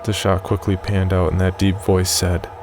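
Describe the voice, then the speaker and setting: Very deep, gravelly man narrating horror. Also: flat delivery, normal speed, darkish tone, faint music behind. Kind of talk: scripted first-person horror story narration.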